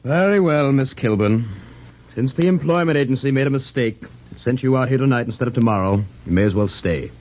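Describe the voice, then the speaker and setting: An actor playing a wealthy, menacing big-game hunter in a formal, deliberate 1940s radio drama style. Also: male voice, mid-range voice, darkish tone, natural voice. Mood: cool, formal. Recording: muffled, noisy 1940s radio recording.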